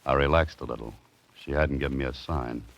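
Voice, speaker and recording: A man's voice: extremely low pitch, a little fast, very noisy. A man playing a cool, wry American amateur detective in a 1940s radio mystery, clipped and understated. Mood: cool, suspenseful. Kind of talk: 1940s radio drama narration and acting. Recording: dull old radio recording.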